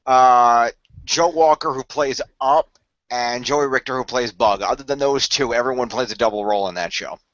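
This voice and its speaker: Male co-host, medium pitch, casual explainer, dry lo-fi voice-chat sound. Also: moderate pace, fairly bright tone, no room sound. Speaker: podcast co-host.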